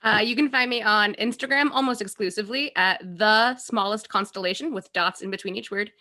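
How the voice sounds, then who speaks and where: A woman's voice: medium pitch, a little fast, pushed and bright. A woman speaking casually as a participant in a group chat. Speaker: participant and podcaster.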